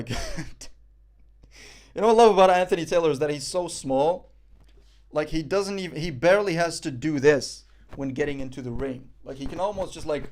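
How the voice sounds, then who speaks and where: A man's voice: a little high, a little mellow. Chatty young man streaming live. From Morocco. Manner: rambling, profane, joking, many fillers. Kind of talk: casual live-stream commentary.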